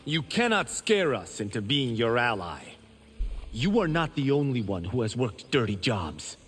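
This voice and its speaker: An actor voicing a boastful, vain young monk in a video game. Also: male voice, medium pitch, sing-song, a little slow, a bit bright, a little hiss or hum. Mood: cocky.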